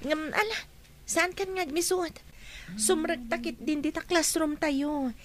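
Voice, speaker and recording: A woman playing a friendly, reasonable schoolgirl who coaxes and gently scolds her friend in a radio drama. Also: high voice, unhurried, a little hiss or hum. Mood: gently reproving. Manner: coaxing, reproving. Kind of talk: radio drama acting in Ilocano.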